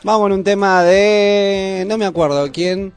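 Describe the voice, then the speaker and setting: A man's voice: high-pitched, fairly even intonation, measured pace, smooth, soft background music. A man with an Argentine accent hosting a cooking segment in casual, colloquial chat full of affectionate diminutives. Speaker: host of a youth culture radio cooking segment.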